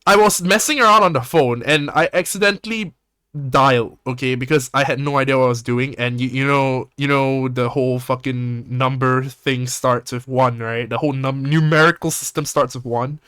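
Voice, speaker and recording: A young man from Singapore hosting a podcast, chatting casually in a rambling, animated way and swearing often. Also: mid-range voice, moderate pace, clear, resonant tone, dry recording, clean studio sound. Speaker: podcast host. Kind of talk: casual chat.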